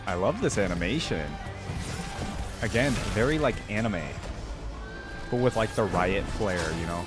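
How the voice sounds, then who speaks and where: A man hosting a reaction video, giving informal, excitable commentary and thinking aloud as he goes. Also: on the low side, unhurried, over music. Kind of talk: casual reaction commentary with some reading aloud.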